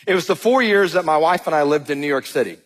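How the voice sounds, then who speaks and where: High, husky Southern pastor preaching. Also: male voice, quick pace, poor audio. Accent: Southern US (Georgia). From Georgia, US South. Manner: animated, jokey storytelling, addresses crowd as y'all. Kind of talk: sermon with storytelling.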